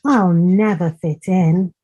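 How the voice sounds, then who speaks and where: Woman voicing a forlorn, slow little male duckling. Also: fairly low pitch, fairly even intonation, mellow, dry recording, dull audio. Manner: honking, forlorn. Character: clumsy, sad young male ugly duckling. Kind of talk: children's storybook reading.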